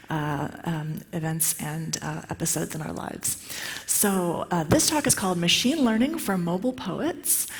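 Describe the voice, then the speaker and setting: A soft-spoken woman from the United States giving a chatty conference talk, with many fillers and restarts. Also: medium pitch. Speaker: conference speaker. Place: conference hall. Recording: long hall reverb.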